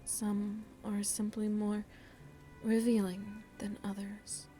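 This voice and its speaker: A woman voicing a seductive, motherly vampire in an ASMR roleplay, soft and breathy, often whispering as she muses intimately to the listener. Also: medium pitch, monotone, faint music behind, close to an everyday voice. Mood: musing, intimate. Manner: slow, deliberate, musing, addresses listener tenderly. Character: seductive motherly female vampire, worldly, philosophical, gently teasing.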